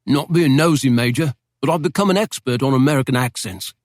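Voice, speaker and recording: A man's voice: medium pitch, brisk, no room sound, studio quality, lightly acted. A man voicing a deferential British barman who is eager to chat, in a storybook reading. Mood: eager, polite. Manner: deferential, formal.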